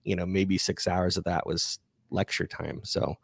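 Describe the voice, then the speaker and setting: A man hosting a podcast livestream, chatting casually and answering listener questions in a halting, self-effacing way. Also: sits low, fairly even intonation, vocal fry, breathy edge, no echo, studio quality. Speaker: podcast creator and livestream host.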